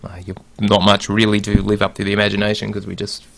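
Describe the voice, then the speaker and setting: A man with a low, gravelly voice co-hosting a podcast in a laid-back, wry manner. The sound is dry and close. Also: monotone, fast talker, no room sound. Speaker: podcast producer and co-host. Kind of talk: casual chat.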